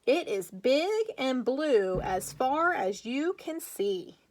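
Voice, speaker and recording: A woman with a fairly high voice talks as a teacher to young children. She takes her time, very slow and deliberate, with emphatic read-aloud phrasing. Chatty asides and encouraging questions are part of her delivery. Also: sing-song, darkish tone, slight background noise, poor audio.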